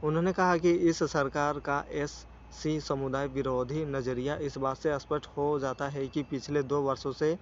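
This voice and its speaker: A man's voice: on the high side, steady tone, normal speed, few pauses. A man reading a scripted news report in a formal way, stumbling now and then over words. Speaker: news channel narrator. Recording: dull lo-fi sound with faint noise.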